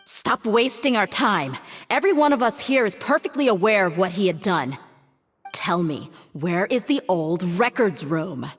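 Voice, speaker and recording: A woman's voice: mid-range voice, expressive, normal speed, faint music behind, natural voice. An actress playing a tough, stern woman mercenary in a video game. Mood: commanding. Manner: stern, commanding.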